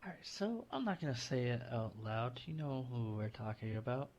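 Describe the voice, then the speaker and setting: A man's voice: mid-range voice, very animated, moderate pace, darkish tone, muffled recording. A man playing a tabletop roleplaying game, chatting casually in in-game banter.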